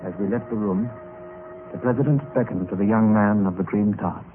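A man acting as a grave gentleman narrator in an old radio mystery, telling the tale in a measured, dramatic way. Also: fairly low pitch, music in the background, natural voice. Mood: grave, suspenseful. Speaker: narrator and lead character. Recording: muffled, noisy old radio recording.

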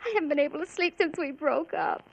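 A woman's voice: high voice, animated, fast talker, creaky, highs cut off, slight background noise. An actress playing a frightened, distraught young woman in a melodramatic old radio crime drama.

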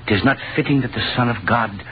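Questioning, impatient male pilgrim sage, played by an actor, medium pitch, declamatory old radio drama, dull noisy recording. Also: brisk, lightly acted. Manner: formal, declamatory archaic speech. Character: devout but impatient, questioning wise man. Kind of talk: 1930s radio acting.

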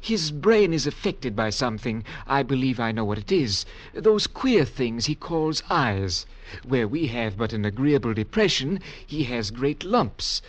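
An actor in old-time radio drama playing a pompous, deferential village doctor who speaks formally and with cool self-assurance. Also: male voice, mid-range voice, big pitch swings, a little slow, hardly any pauses. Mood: clinical, confident. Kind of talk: 1940s-50s radio drama acting.

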